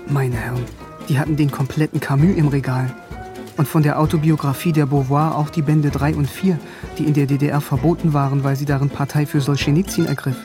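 Schauspieler als wissbegieriger, ironischer junger Philosoph, eher hohe Stimme. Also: male voice, a little high, close to an everyday voice. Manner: wry, musing first-person narration. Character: bookish young East German male philosopher, eager, wryly ironic. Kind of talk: radio drama narration and dialogue.

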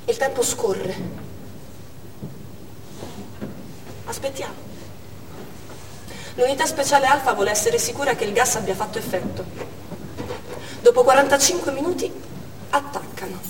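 An actress plays an anguished woman doctor delivering a dramatic theatre monologue. Her voice is high, intense and deliberate, breaking the account into short, urgent phrases. It rings with long reverb in a hall, picked up from a distance. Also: natural voice. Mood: hushed, tense. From Armenia (the character). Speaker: stage actress. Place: indoor theatre stage. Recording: echoey theatre hall, distant mic, faint noise.

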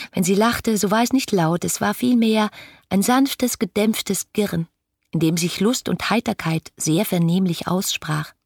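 A woman's voice: fairly low pitch, darkish tone. An actress playing a passionate, dreamy young woman in a German radio play, reading her letters in a lyrical, intimate, fervent manner. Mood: wistful.

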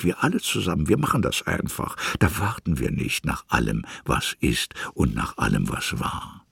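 A man narrating a literary radio drama expressively, with a gravelly, husky voice and a musing way of savouring phrases. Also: rumbling low, dry recording, studio quality.

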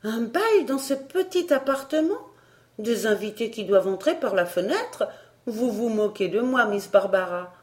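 A woman's voice: fairly high pitch, measured pace, a little mellow, pitched above the performer's own voice, close to an everyday voice. A woman voicing a curious, sensible young girl in a storybook, with an earnest, reasoning manner. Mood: puzzled, impatient.

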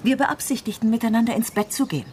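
Woman politician acted by an actress, radio crime drama, guarded, direct, quick speech, lively intonation. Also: a little high, slight background noise, close to an everyday voice. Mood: blunt, guarded. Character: direct, guarded female member of parliament.

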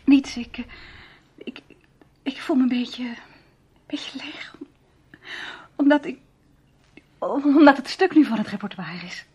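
An actress playing a weary, troubled young stage star in a radio drama, hesitant and halting with a breathy voice. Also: female voice, high voice, animated, a little mellow, muffled recording, heavily acted. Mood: troubled, evasive.

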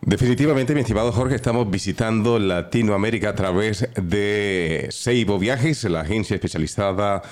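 A man co-hosting a morning radio show, in a lively, laughing promotional manner. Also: on the low side, moderate pace, hardly any pauses. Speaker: radio co-host.

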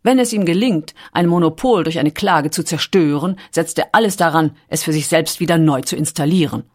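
A woman's voice: deep voice, brisk. A woman reading scripted documentary narration in a composed, carefully articulated storytelling manner.

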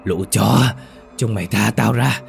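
A man voicing a possessed older village woman with a gruff, guttural growl, cursing and threatening in a horror story. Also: deep voice, moderate pace, faint music behind, natural voice. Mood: furious cursing.

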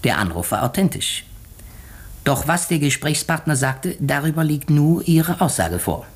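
A shrewd old-time radio detective, husky voice that swings up and down, slow dramatic pauses. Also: male voice, on the high side, very animated, full character voice. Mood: calm, certain. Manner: deliberate, theatrical explaining with dramatic pauses. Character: shrewd, methodical male detective unmasking a murderer. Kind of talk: 1950s German radio play acting.

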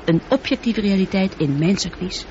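An actress the playing a highly intelligent, humanlike female spaceship computer in a radio play, speaking in measured, articulate sentences. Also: expressive, warm tone, close to an everyday voice. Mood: calm, analytical. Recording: dull noisy old radio play recording.

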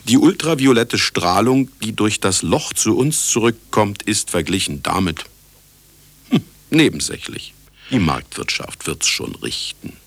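A man's voice: fairly low pitch, very animated, measured pace, creaky, a bit bright, breathy edge, no echo, heavily acted. An actor playing a cynical, vain older retired scientist who narrates his own story in a satirical radio play, sardonic and self-important. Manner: sardonic, self-important, talking to listener and sound engineer. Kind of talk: satirical radio drama monologue.

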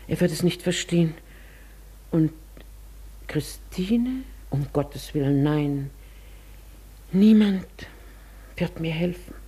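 A proud, genteel lady in a radio play, quietly desperate beneath her manners. Her voice is lowish, soft-edged and very mellow, with a clear husk to it. She stays courteous and composed. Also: full character voice. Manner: polite, composed, confiding when talking to herself. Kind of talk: German radio play acting.

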